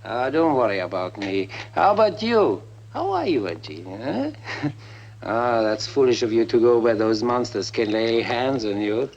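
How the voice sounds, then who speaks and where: A man's voice: medium pitch, very animated, moderate pace, darkish tone, lo-fi sound, slight background noise. A man playing a mocking, unstable renegade scientist in an old 1950s film, goading as he talks. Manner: sardonic, goading.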